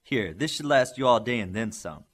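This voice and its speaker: A man voicing a friendly but bossy teenage superheroine in an audiobook, brisk and casual in manner. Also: mid-range voice, expressive, fairly bright tone. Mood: generous, curious.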